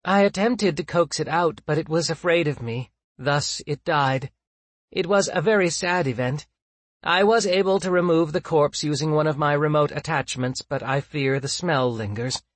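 A man's voice: a little high, normal speed, no room sound, pitched above the performer's own voice, close to an everyday voice. A man voicing a fussy, chirpy, self-satisfied robot drone with prim, formal manners, as in an audiobook. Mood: mock regretful. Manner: prim, formal, mock-polite phrasing.

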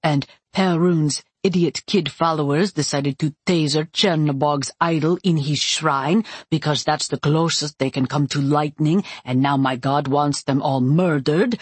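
A woman voicing a male priest of a dark god in an audiobook, wry and placating, with a soothing, reasonable manner. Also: deep voice, slightly rough, no room sound. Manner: soothing, reasonable, dryly humorous. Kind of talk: audiobook character acting.

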